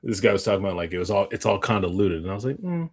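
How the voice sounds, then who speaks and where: A man's voice: fairly low pitch, very animated, rapid-fire. A man co-hosting a podcast in a casual, rambling chat, circling back on his own points. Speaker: podcast co-host.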